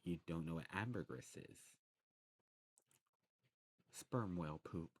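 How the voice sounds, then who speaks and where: Very deep-voiced man, rambling shop chat. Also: slow pace, husky. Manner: rambling, informal, self-correcting explanations. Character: friendly demon snuff shop keeper, knowledgeable and enthusiastic. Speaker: ASMR performer playing a snuff shopkeeper. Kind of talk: ASMR shop roleplay, casual recommending chat.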